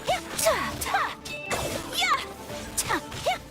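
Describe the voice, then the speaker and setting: A woman's voice: very high voice, huge pitch swings, drawn-out words, full character voice. A woman voicing a graceful, polite young swordswoman in a video game, calling out attacks and short battle cries. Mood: battle-focused, fierce. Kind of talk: anime-style video game battle lines. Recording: game audio under loud music.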